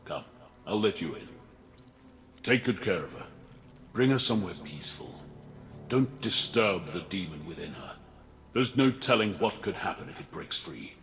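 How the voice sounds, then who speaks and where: Deep voice, male spirit, very expressive, earnest, cautioning, fantasy game. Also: moderate pace, pauses often, faint music behind. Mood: warm, cautioning. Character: solemn male spirit entrusting a girl in his care. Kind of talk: video game character acting.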